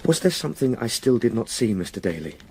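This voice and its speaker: An actor playing an earnest, shaken young gentleman in a radio drama, questioning with urgent intensity. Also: medium pitch, big pitch swings, quick pace, husky. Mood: anxious, insistent.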